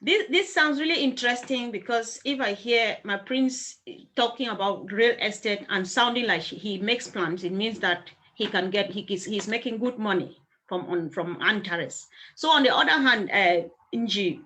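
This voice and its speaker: A woman's voice: medium pitch, moderate pace, sharp tone. A woman interviewing guests in a casual conversation, often stammering and repeating words as she asks questions.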